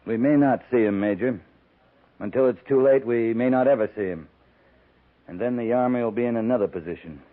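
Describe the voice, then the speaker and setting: An actor playing a grim, seasoned frontier army officer, terse and grave, in a 1950s western radio drama. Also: male voice, medium pitch, brisk, natural voice. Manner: terse, grave, plain-spoken. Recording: thin, tinny 1950s radio recording.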